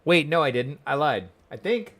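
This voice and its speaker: A man's voice: a little high, sing-song, normal speed, fairly bright tone, soft background music. Chatty American man livestreaming a game. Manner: chatty, rambling, self-deprecating, reads chat aloud. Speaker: game streamer. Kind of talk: casual livestream chat over gameplay. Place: small room at home.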